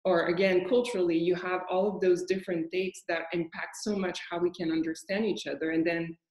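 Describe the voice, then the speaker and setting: A woman's voice: fairly low pitch, level tone, brisk, a little mellow. A woman curator speaking reflectively in a casual panel conversation, often searching for words, on a video call. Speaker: curator and guest critic. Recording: echoey room.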